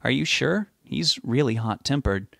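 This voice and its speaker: A man's voice: mid-range voice, animated, normal speed, vocal fry, a bit bright, no room sound, clean studio sound, voice pushed up. A man voicing an earnest, desperate young man in an audiobook, determined yet polite. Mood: polite, subdued. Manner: pleading, resolute.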